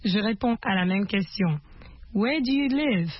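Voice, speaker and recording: A woman teaching English to French speakers in a scripted, deliberate, instructional manner. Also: mid-range voice, animated. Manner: instructional, deliberate, repeats questions. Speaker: language teacher. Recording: muffled lo-fi audio, loud guitar music.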